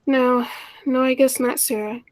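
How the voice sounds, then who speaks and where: Shy, wistful unmarried sister played by a woman, higher register, earnest radio-play delivery, very dry recording. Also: steady tone, unhurried, no echo, low-quality recording. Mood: pleading. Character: shy, lonely, self-effacing unmarried older sister from Ohio. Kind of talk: 1940s radio play acting, first-person narration.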